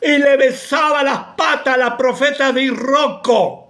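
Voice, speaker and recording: A pastor with an Argentine accent preaching a sermon in an impassioned, rebuking way that often bursts into shouting. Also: male voice, extremely high pitch, fairly even intonation, measured pace. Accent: Argentine Spanish.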